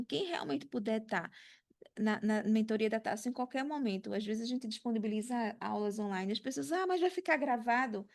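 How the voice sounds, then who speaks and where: A woman's voice: on the high side, vocal fry. A woman with a Brazilian accent hosting a podcast in chatty, conversational talk, often checking in with short tag questions. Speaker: podcast host.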